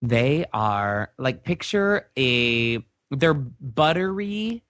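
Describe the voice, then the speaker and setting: A man's voice: mid-range voice, big pitch swings, drawn-out words, a bit bright, no echo. A man co-hosting a casual chat podcast, making playful jokes and wordplay. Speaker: podcast co-host.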